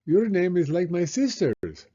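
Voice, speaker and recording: A man's voice: high-pitched, big pitch swings, measured pace, warm tone, husky, highs cut off, voice pushed up, full character voice. A man voicing a friendly young schoolboy in a children's storybook read-aloud.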